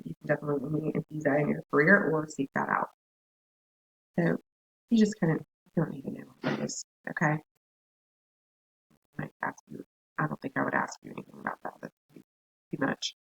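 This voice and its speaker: A hoarse woman with a scratchy voice, giving a recorded counseling class lecture in a chatty way with asides. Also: sits low, lo-fi sound. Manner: chatty, laughs, self-interrupting asides. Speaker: counseling instructor.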